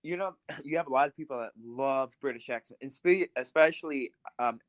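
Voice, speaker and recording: A man's voice: medium pitch, brisk, no room sound. A man co-hosting a podcast, talking with enthusiasm in loose, rambling sentences. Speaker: podcast co-host. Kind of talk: casual interview chat.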